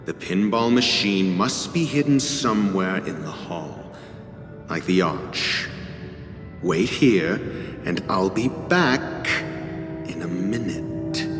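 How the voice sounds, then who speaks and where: A man voicing a haughty, sardonic scholar in a video game, speaking with formal diction and dry, measured condescension. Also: sits low, expressive. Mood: weary, aloof. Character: haughty, sardonic male scholar, coolly exasperated and erudite. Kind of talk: video game cutscene voice acting. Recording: game dialogue over background music. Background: background music.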